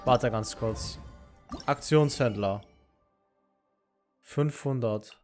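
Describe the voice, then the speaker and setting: A man's voice: medium pitch, drawn-out words. A man with a German accent giving casual live commentary as he streams a video game. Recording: game music behind the voice. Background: game music.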